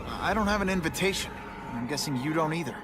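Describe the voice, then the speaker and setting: An actor voicing a wisecracking young superhero in a video game, with a quippy, casual, earnest delivery. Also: male voice, a little high, lively intonation, fast talker, background noise, natural voice. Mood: earnest, wry. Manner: quippy, conversational, sardonic. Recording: loud music under the voice.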